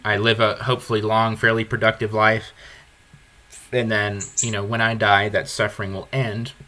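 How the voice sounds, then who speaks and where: Man with a bright, forceful baritone. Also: flat delivery, moderate pace. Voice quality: deep low baritone. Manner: reflective, candid, restarts phrases. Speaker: podcast host. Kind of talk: earnest conversational podcast talk.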